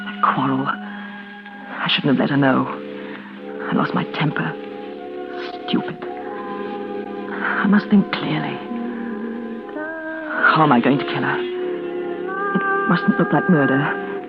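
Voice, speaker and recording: A tinny vintage radio drama with loud music. a bitter, scheming woman looking after her mad sister. Her delivery is intense and melodramatic, swinging widely in pitch. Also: full character voice. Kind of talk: 1940s radio suspense acting.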